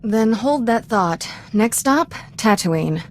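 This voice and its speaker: A woman's voice: fairly high pitch, lively intonation, takes it slowly, darkish tone, slight background noise. A woman playing a brash, confident young Jedi companion in a video game, blunt, informal and self-assured. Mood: curious, ready. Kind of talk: video game character acting.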